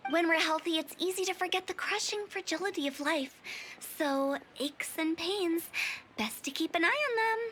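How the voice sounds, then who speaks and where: Anime game funeral director, young woman, very high pitch, theatrical, playful, chatty, eccentric. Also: moderate pace, fairly bright tone, a little hiss or hum. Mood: chirpy, salesy.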